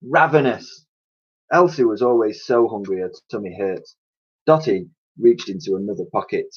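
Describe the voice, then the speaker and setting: A man reading a children's story aloud as a teacher would, in an animated narrating style. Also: on the low side, moderate pace, slightly rough. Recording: dull lo-fi recording.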